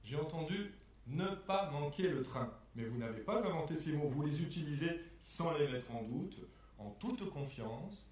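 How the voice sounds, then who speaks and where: A man delivering a scripted spoken exposé in a measured, methodical way, setting phrases apart like quotations. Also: a little high, animated, a little mellow, far from the mic. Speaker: performer in a spoken-word performance lecture. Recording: echoey room, picked up from afar.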